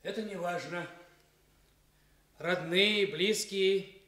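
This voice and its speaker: Slightly high-voiced calm orderly. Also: male voice, takes it slowly. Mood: calm, doubtful. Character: calm, hesitant junior hospital orderly. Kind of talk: Soviet radio theatre acting.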